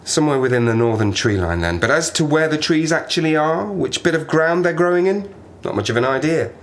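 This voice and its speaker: A man's voice: fairly high pitch, lively intonation, a little fast. An actor playing a lonely, half-mad plane-crash survivor in an audio drama, rambling and self-mocking as he talks to himself. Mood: wistful, rambling. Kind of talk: audio drama monologue, diary-like.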